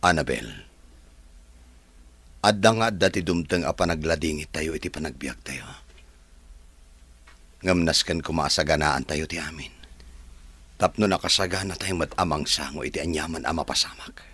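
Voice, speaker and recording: Deep, husky consoling man as acted. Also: moderate pace, pauses often, fairly bright tone, no echo, full character voice. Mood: consoling, solemn. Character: gentle, consoling adult male confidant, philosophical. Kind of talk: Ilocano radio drama acting.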